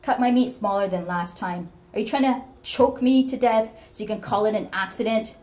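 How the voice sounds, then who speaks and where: A woman voicing a cruel, bedridden old mother who snaps and blames her daughter in a shrill, scolding voice. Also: measured pace, a little mellow, natural voice. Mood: bitter.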